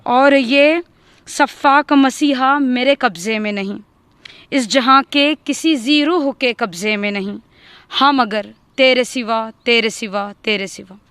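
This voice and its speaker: A woman giving a dramatic recitation of a poem, deliberate and leaning on repeated phrases for emphasis. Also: on the high side, fairly bright tone, smooth, no room sound. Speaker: poetry reciter.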